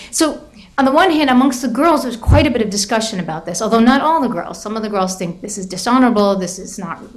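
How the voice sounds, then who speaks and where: A middle-aged woman giving an academic talk about her book, telling classroom stories with lots of hedging and restarts. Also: mid-range voice. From United States. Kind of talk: academic lecture with anecdotes.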